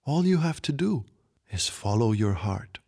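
A man voicing a frail, dying old grandfather who speaks softly, slowly and tenderly in a storybook reading. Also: medium pitch, very animated, gravelly, husky, heavily acted. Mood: hushed. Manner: soft, hushed, slow and tender.

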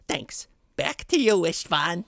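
Guy doing a dramatic gushy middle-aged dad on a comedy podcast, high for a man, swoops all over. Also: big pitch swings, takes it slowly, vocal fry, a bit bright, no room sound, studio quality, heavily acted. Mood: earnest, effusive. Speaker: podcast segment presenter. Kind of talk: scripted segment presentation.

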